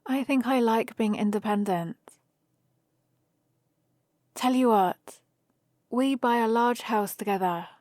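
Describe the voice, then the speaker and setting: A woman's voice: a little high, takes it slowly, pauses often, dry recording, clean studio sound. A woman playing a playful, teasing young heroine adventurer who chats and rambles fondly in a one-sided ASMR roleplay. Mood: wry, wistful. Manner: chatty, rambling, gently teasing.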